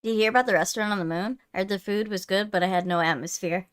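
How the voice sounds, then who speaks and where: A woman's voice: medium pitch, rapid-fire, clear, resonant tone. A woman chatting casually as she hosts a livestream. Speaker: streamer hosting a live game readthrough.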